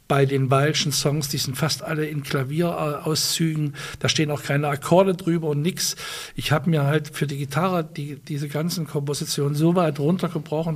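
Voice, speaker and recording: A German-speaking man with a slightly raised pitch talks in an interview. He is a musician who thinks aloud as he answers, trailing into fillers and restarting his sentences. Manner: hesitant, many restarts and fillers, thoughtful. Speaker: musician and guitarist, interview guest. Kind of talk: conversational interview.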